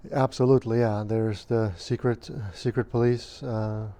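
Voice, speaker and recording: A man in his fifties, originally from Romania, speaks in a mellow, smooth voice. His delivery stays fairly flat and even, and he moves through his memories with measured hesitation and frequent fillers. He sounds like an interviewee looking back on his childhood. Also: mid-range voice. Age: 50s.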